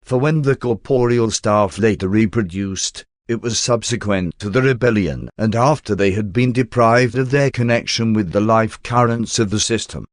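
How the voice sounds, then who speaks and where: A man reading a religious text aloud as formal, measured audiobook-style narration. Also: on the low side, no echo, clean studio sound.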